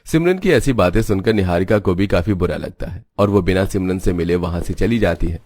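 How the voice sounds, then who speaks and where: A man's voice: deep voice, brisk, a little mellow, dry recording, studio quality. A man reading scripted narration for a cartoon story as its storyteller. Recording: music behind the voice.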